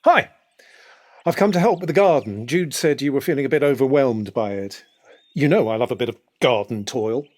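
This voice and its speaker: A man with a British accent playing a genial, self-deprecating house husband of fifty in an audio drama, casual and hesitant with a husky voice. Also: mid-range voice, moderate pace, a little mellow, lightly acted. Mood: cheerful.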